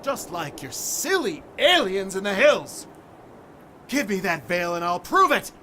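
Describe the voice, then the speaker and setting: A man's voice: sits high, very animated, unhurried, noisy background. An actor playing an irascible, sceptical old man in a radio play, blustering and indignant. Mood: furious accusation. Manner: blustering, indignant, stammering scorn.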